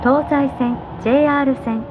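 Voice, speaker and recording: A high-voiced woman reads formal subway announcements with careful, measured diction. The sound is dull, as if recorded from a carriage speaker, with clear train noise around it. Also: fairly even intonation. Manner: careful, measured station-name enunciation. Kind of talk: scripted transit announcements, polite and formal. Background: running subway train noise.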